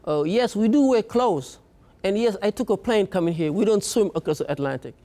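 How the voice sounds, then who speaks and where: Man, from Africa, young adult, high-pitched, earnest, halting, repeats words. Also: lively intonation, mellow. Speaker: speaker. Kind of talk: personal story talk.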